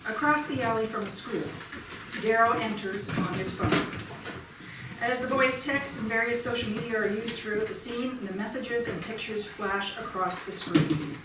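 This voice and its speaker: A woman's voice: on the high side, measured pace. A woman reading stage directions aloud as scripted narration at a staged play reading. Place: indoor theatre stage. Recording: echoey theatre, distant mic, clear noise.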